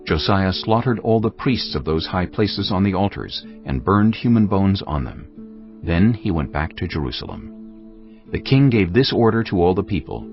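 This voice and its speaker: Man, very deep voice, lively pitch, scripted Bible narration, dull lo-fi, soft music. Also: moderate pace, vocal fry. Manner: grave, expressive storytelling.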